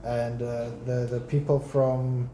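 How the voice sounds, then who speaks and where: A man's voice: medium pitch, flat delivery, takes it slowly, dark tone, a little hiss or hum. A young man from South Africa chatting casually as a customer, hesitant with frequent ums and restarts. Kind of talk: casual chat. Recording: echoey room, faint music.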